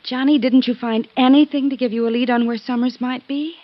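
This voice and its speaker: A woman's voice: high voice, fairly even intonation, a little fast, lightly acted. A woman playing an anxious, inquisitive young female companion to a detective in a 1950s radio drama, fretful and pleading. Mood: worried, probing. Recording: noisy, muffled old radio recording.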